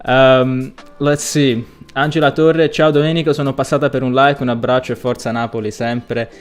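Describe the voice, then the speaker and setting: A man hosting a live football fan stream, chatty and excitable, hoarse and losing his voice as he reads viewer comments. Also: medium pitch, a little fast. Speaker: football channel host.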